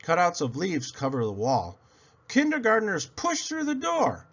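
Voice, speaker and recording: A man reading a children's picture book aloud as scripted narration, in an expressive storytelling manner. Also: high-pitched, a little slow, dull audio.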